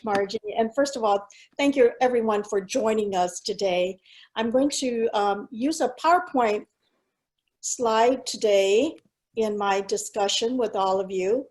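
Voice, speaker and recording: A middle-aged woman whose first language was Cantonese, a school superintendent giving a keynote talk over slides in a measured, earnest way, pausing with fillers. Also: fairly high pitch, darkish tone. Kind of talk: presentation with slides.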